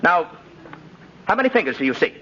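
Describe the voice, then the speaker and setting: An actor playing a pompous, nervous, easily offended examiner in a 1950s radio drama, officious and blustering. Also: male voice, fairly high pitch, big pitch swings, a little fast, sharp tone. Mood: irritated. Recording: dull old radio broadcast, faint noise.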